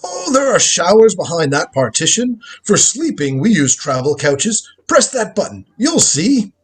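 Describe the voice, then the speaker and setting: Guy doing a cranky old genius grandpa for radio drama, high husky voice, swoops around, imperious. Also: wildly swooping pitch, measured pace, full character voice. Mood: proud, lecturing. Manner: imperious, theatrical, lecturing. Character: crotchety, proud old grandfather inventor, time traveller from the future. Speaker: lead actor in a live script reading. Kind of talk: live reading of a radio drama script.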